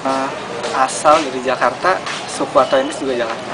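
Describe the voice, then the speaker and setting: A man's voice: mid-range voice, fairly even intonation, brisk, very noisy. A young man from Balikpapan, a student interviewee, talking casually and correcting himself. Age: young adult.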